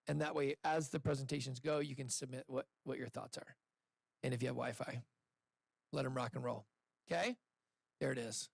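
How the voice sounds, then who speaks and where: A man hosting a live pitch event, speaking casually into a handheld microphone to an audience in an indoor room, chatty and upbeat with loose restarts. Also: creaky, no echo, rough recording. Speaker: event host and investor.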